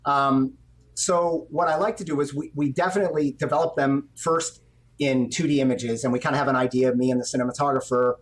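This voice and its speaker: A man's voice: mid-range voice, steady tone, fairly quick, rough recording. A middle-aged man, an animation director, explaining his work conversationally in an interview and acting out little quotes as he goes. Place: indoor room on a video call.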